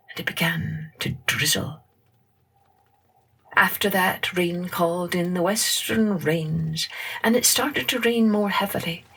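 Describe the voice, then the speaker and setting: A woman narrating a scripted children's story in an expressive, dramatic storytelling manner. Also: on the low side, vocal fry, slightly rough.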